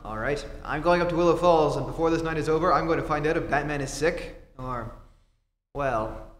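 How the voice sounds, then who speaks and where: A man's voice: fairly high pitch, a little fast, fairly bright tone, natural voice. A man playing an earnest, upright reporter hero in theatrical 1940s radio-play style. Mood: concerned, firm. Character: Clark Kent, upright concerned adult male reporter hero. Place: theatre stage before an audience. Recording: echoey hall, faint stage noise.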